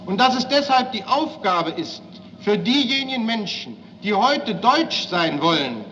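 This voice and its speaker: A woman's voice: mid-range voice, big pitch swings, a little slow, fairly bright tone. A woman voicing an impassioned postwar parliamentarian who argues emphatically in formal political oratory, on a dull lo-fi recording. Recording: dull lo-fi, faint noise.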